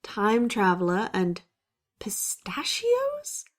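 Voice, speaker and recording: A woman's voice: mid-range voice, huge pitch swings, exaggerated voice. A woman playing a folksy, showy theatre-department announcer in an audio drama commercial, drawing out words teasingly. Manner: folksy cowgirl hollering, teasing, drawn-out delivery.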